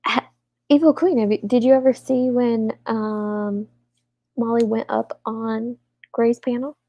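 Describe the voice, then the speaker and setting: A woman in her forties, congested and a little rough-voiced, chatting casually with her live chat and laughing often. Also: a little high, fairly even intonation, unhurried, warm tone, no room sound, dull audio. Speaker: livestream host.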